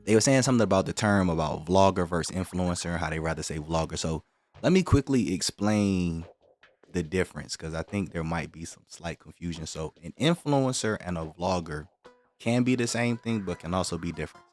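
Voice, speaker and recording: A man's voice: sits low, lively intonation, brisk, darkish tone, faint music behind. A man hosting a livestream, chatting casually with viewers and explaining his points in a direct, slangy way. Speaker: livestream host.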